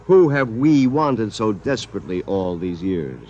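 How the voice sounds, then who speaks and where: A man's voice: fairly low pitch, very animated, moderate pace, darkish tone, lo-fi sound. A man playing a brash, smug artificial double of a meek man, swaggering and slangy in 1950s radio drama style. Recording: noisy old radio broadcast recording.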